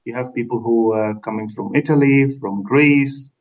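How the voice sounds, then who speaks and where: A man from Egypt, a guest answering interview questions in a hesitant, unhurried way with many fillers. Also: mid-range voice. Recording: distant, dull lo-fi sound.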